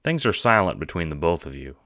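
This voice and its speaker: Low-voiced man narrating an audio drama. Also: very animated, a little fast, a bit bright, no room sound, clean studio sound. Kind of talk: scripted second-person narration.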